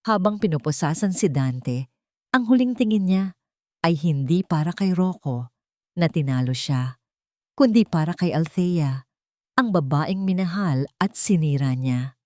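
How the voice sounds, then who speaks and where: Female audiobook narrator, Filipino accent, lower-set mellow voice, dramatic storytelling, recorded close and dry. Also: animated, unhurried, pauses often, dry recording.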